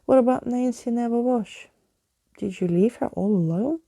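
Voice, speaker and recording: A woman's voice: a little high, normal speed, mellow, no echo, pitched above the performer's own voice. A woman voicing a sarcastic young girl in a storybook, blunt and exasperated. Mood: blunt, impatient.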